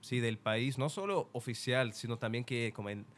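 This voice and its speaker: A young man from Ukraine with a Ukrainian accent, a podcast guest speaking Spanish in casual talk, pausing and restarting often as he searches for words and laughing now and then. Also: medium pitch. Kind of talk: casual interview.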